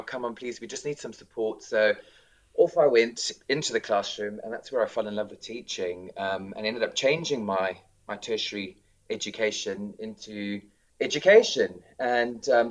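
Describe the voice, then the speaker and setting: A man in his thirties with a South African accent, talking casually as an interview guest with many ums and restarts. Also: mid-range voice. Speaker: director of drama, guest.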